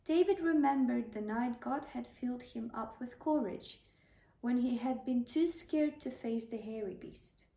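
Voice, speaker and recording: Slow, mellow woman storyteller, echoey room. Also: on the high side. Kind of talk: scripted children's bedtime story narration. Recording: echoey room, slightly distant microphone.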